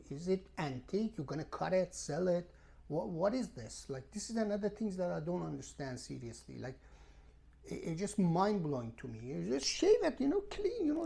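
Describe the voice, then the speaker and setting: Female travel vlogger, non-native English, mellow creaky voice, rambling and exasperated to camera. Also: fairly low pitch. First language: not English. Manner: emphatic, repetitive, exasperated storytelling. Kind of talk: casual rambling rant to camera.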